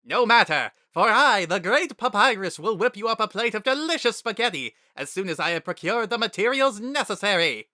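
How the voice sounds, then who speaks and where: A booming boastful skeleton. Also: male voice, animated, normal speed, few pauses, sharp tone, dry recording, studio quality. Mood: excited. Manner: booming and dramatic. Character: loud boastful skeleton man, eager and theatrical.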